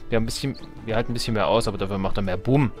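A man's voice: fairly low pitch, very fast, faint music behind. A man giving casual, off-the-cuff gameplay commentary, muttering to himself with playful exclamations. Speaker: let's player.

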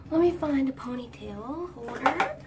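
A young woman in her twenties with a high, girlish voice, chatting and rambling while doing her hair for a vlog, recorded on a phone at arm's length in a small room. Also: animated, a little slow, very noisy. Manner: rambling, sings snatches of songs. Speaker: vlogger. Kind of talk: chatty get-ready-with-me storytime. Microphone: phone camera at arm's length. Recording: distant phone mic, faint room ambience.

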